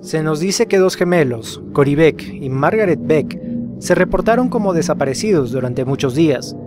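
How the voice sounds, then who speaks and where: Higher-voiced man narrating horror over music. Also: lively intonation, normal speed. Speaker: narrator of a horror explainer video. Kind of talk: scripted storytelling narration about a horror case. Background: music behind the voice.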